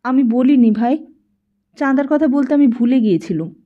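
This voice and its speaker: A woman voicing a meek, earnest teenage boy who speaks gently and hesitantly in an audiobook story. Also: sits high, moderate pace, narrow, tinny audio, lightly acted. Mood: apologetic.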